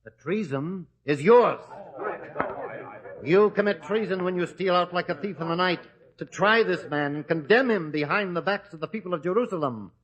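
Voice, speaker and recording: A man's voice: high-pitched, lively intonation, fairly bright tone. An actor playing a righteous judge who indignantly defends an accused man in a vintage radio drama. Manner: indignant and deliberate. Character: righteous, defiant judge Joseph. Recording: dull old radio recording.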